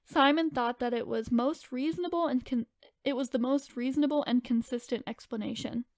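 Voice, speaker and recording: High-voiced woman co-hosting a podcast. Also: fairly quick, no room sound, clean studio sound. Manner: informal, filler-heavy, hedging retelling. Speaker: podcast co-host telling the story. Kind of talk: casual chat mixed with reading from notes.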